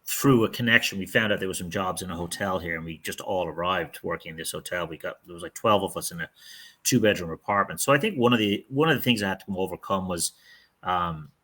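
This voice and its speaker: Deep-voiced, forceful middle-aged man. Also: fast talker. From outside the United States. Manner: candid, rambling, with frequent restarts. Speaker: real estate team leader, podcast guest. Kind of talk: casual interview answers.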